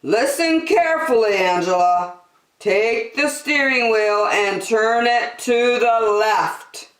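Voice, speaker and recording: A woman voicing a stern, exasperated airport radio controller in a storybook, speaking in a commanding, deliberate way. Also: a little high, reverberant, low-quality recording. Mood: instructing.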